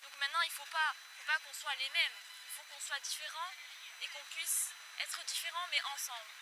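A woman's voice: sits high, normal speed. A young woman in her twenties speaking earnestly in a street interview on a city square, with rhetorical questions, into a handheld mic. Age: 20s. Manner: earnest, questioning, softening toward the end. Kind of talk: street interview, personal opinion. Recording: outdoor street noise.

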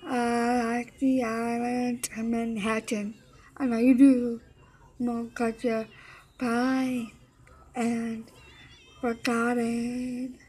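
Young woman singing along slow and kinda flat, close webcam mic, office room. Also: a little high, a little hiss or hum. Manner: sings along loosely, shouting and chanting at times. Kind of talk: singing songs a cappella to a webcam. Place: indoor office room with desks and computers. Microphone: webcam at close range, with headphones on.

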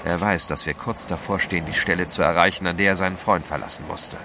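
Resolute gentleman explorer in a radio play, lowish commanding voice, noisy ship sounds behind. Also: fairly even intonation, fast talker. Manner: assured, commanding. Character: resolute gentleman adventurer, protective leader. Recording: noisy ship ambience effects.

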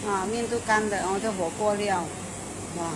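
A woman's voice: mid-range voice, steady tone, a little fast. A middle-aged woman, hosting a casual restaurant review and chatting enthusiastically with the owner inside a restaurant. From Malaysia. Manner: chatty and enthusiastic, with frequent fillers and exclamations. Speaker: food show host. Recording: noisy restaurant background.

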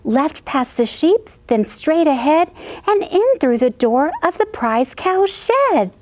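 Woman as a high-voiced clever little ladybug. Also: very animated, slow pace, a little mellow, full character voice. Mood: urgent warning. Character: small female ladybug, clever and careful.

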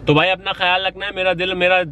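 A man's voice: sits high, flat delivery, fast, bright, forceful tone. A man in his thirties from Pakistan, a rideshare driver giving earnest, pleading safety tips in a casual vlog, dropping English phrases into his talk, filmed in a parked car on a phone held at arm's length. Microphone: phone or camera at arm's length.

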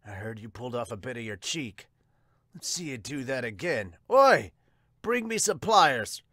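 A man's voice: mid-range voice, very animated, moderate pace, fairly bright tone, dry recording, studio quality, pitched below the performer's own voice. A man voicing a bullying manga gang boss who interrogates captives. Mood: threatening.

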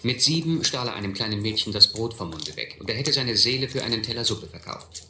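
A very bright, forceful voice in an echoey recording with faint noise. a grown man looking back on his boyhood, narrating a radio play with measured, literary phrasing. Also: lowish voice, lively intonation, exaggerated voice. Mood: reflective.

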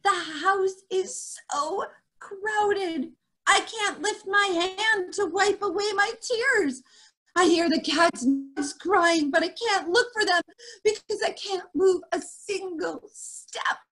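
A woman voicing a frazzled young girl whose house is overcrowded, pleading in a high, dramatic voice. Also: animated, takes it slowly, a bit bright, exaggerated voice, low-quality recording. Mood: distraught.

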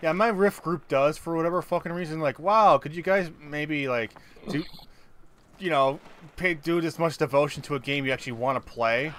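A man's voice: on the high side, moderate pace, a bit bright. A man chatting casually and sarcastically, with some swearing, while playing a co-op video game. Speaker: gamer playing co-op. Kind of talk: casual gaming chat. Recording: background game noise, ambience.

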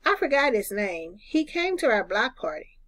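Lady doing an eager little kid from a picture book, naive, excitable, really animated. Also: on the high side, moderate pace. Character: excitable little boy, wary of strangers. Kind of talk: children's storybook read-aloud.

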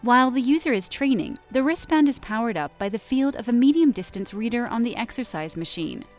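A woman giving scripted corporate product narration in an even, measured and clearly enunciated way. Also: a little high. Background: soft background music.

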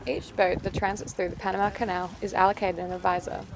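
A woman giving scripted voice-over narration for a sailing travel vlog, explaining each step clearly. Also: mid-range voice, flat delivery, fast, heavy background noise.

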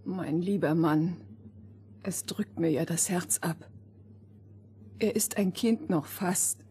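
An actress playing a devoted, anxious miller's wife with a fervent, emotional manner in a fairy-tale audio drama. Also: female voice, fairly low pitch, slow, warm tone, slight background noise. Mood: fervent, tearful.

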